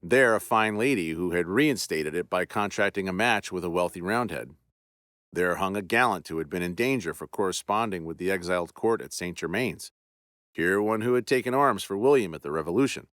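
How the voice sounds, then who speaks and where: Low-voiced male horror-story narrator. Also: fairly bright tone, no room sound, studio quality. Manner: measured, theatrical storytelling. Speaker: podcast host and narrator. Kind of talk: dramatic reading of a classic ghost story.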